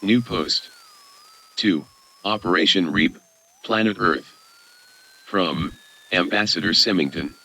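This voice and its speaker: Male announcer, low and forceful, slow clipped scripted delivery. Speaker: narrator. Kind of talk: scripted narration, announcing posts.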